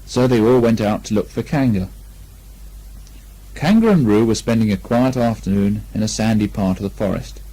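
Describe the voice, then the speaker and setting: Mellow man narrating a children's story. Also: fairly low pitch, lively intonation, normal speed. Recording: lo-fi amateur 1980 speech recording.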